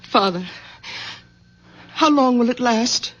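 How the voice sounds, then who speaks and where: A woman's voice: a little high, expressive, slow pace, fairly bright tone, full character voice. A woman playing an anxious, disbelieving adult daughter in a 1970s radio drama, agitated and sternly protective in her delivery. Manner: agitated, scolding. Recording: muffled old radio drama recording.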